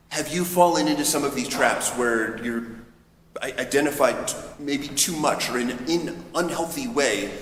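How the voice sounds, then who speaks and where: A man, a priest, giving a conversational, anecdotal homily in a reflective, somewhat halting manner. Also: medium pitch, fairly bright tone. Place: large reverberant church interior. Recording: echoey church, long reverb, background noise.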